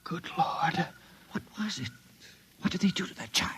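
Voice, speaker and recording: An actor playing a cautious, well-spoken long-term patient with a dry, measured manner in a 1950s radio drama. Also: male voice, high voice, expressive, a bit bright, slight background noise. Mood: alarmed, hushed.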